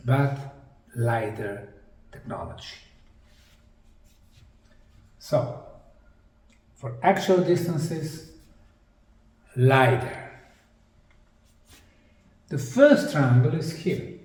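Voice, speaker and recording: A man presents a lecture in a mellow, slightly high voice. He goes very slowly, setting out short, emphatic phrases with deliberate pauses. The mic sounds a little distant. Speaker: presenter, anthropologist. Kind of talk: slide lecture presentation on sacred geometry. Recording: distant mic in an echoey room.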